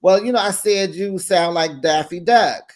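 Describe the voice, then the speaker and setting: A man's voice: high-pitched, unhurried. A man hosting a casual recap show, chatty and gossipy, acting out quotes in a raised voice and laughing along.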